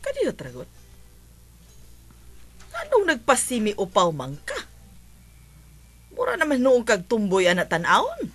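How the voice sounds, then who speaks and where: Husky, animated nagging mother. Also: a little high, unhurried, pauses often, heavily acted. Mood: concerned, nagging. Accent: Filipino (Cebuano). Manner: motherly, expressive. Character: caring, nagging middle-aged mother of schoolgirl. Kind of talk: radio drama acting.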